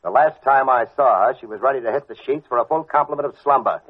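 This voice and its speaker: An actor playing a wisecracking, gruff but decent private detective in a 1940s radio drama, talking in sardonic slang. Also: male voice, mid-range voice, fast talker, no room sound, lightly acted. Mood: sardonic, annoyed. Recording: muffled old radio drama recording.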